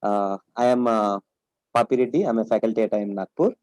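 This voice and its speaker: Guy moderating q&a, lots of uh's, warm low-key tone, dry sound. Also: no room sound. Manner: halting, many "uh" fillers. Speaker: faculty moderator. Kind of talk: hesitant Q&A questioning.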